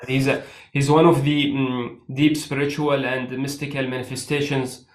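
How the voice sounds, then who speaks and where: A male interviewer speaks at a mid pitch in a measured, halting way, with plenty of "uh" and "um" as he frames his questions. His voice carries a roomy echo. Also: flat delivery. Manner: hesitant, measured, many filler sounds. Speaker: interview host.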